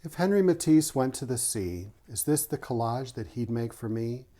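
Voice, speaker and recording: A man's voice: medium pitch, animated, normal speed, mellow. A man who is an author and art teacher, reading a rhyming children's picture book aloud and adding short teacherly explanations. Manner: rhythmic reading of rhyming verse, teacherly asides.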